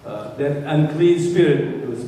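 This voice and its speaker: A man with a Cambodian accent and Khmer as his first language, preaching in short phrases that leave pauses for an interpreter. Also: fairly high pitch, warm tone. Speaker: guest preacher. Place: large echoey indoor room. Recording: echoey reverberant hall from far off, faint noise.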